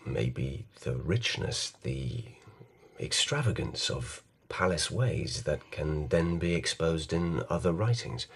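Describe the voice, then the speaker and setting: A man acting an earnest, suspicious palace lieutenant in a radio drama, halting and deferential in speech. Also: rumbling low, full character voice. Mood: hesitant, suspicious. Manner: hesitant, throat-clearing, deferential.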